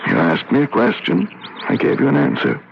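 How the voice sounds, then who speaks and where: An actor playing a tormented, weary man who claims to be possessed, speaking haltingly in a 1970s radio drama. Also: low voice, huge pitch swings, vocal fry, full character voice. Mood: haunted, reverent. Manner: halting, weary, pausing mid-sentence. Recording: muffled noisy vintage radio broadcast.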